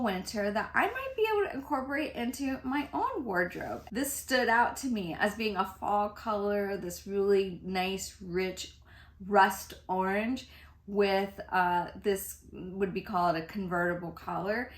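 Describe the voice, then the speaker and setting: A woman's voice: a little high, fairly bright tone. A woman in her fifties chatting casually to camera about fashion, often laughing as she talks. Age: 50s. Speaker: fashion vlogger. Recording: echoey room.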